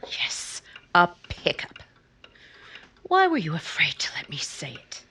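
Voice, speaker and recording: An actress playing a guarded, bitterly proud lonely woman in a romantic 1950s-style radio drama, with measured, pointed, probing delivery. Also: low voice, huge pitch swings, pushed and bright, whispered, heavily acted. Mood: hurt, defiant.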